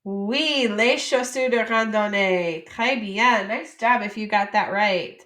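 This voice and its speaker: A woman from the United States teaching French vocabulary, saying each word slowly and then explaining it in casual English. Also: medium pitch, a bit bright, roomy sound. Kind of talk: vocabulary lesson.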